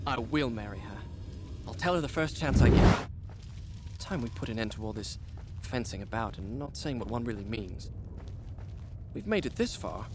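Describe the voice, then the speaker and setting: An actor playing a proud, headstrong young prince in a video game, speaking in a formal, literary and self-absorbed way. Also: male voice, mid-range voice, lively intonation, moderate pace, heavy background noise, close to an everyday voice. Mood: resolute musing. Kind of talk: dramatic video game acting, storybook-like narration. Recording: loud music behind the voice. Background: game soundtrack music.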